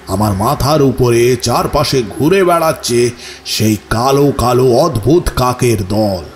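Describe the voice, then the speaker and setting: A man's voice: mid-range voice, darkish tone, slight background noise. A man acting as the haunted narrator of a ghost story, telling his own ordeal in dramatic, suspenseful first person. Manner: slow, suspenseful, with pleading stammering outbursts in dialogue.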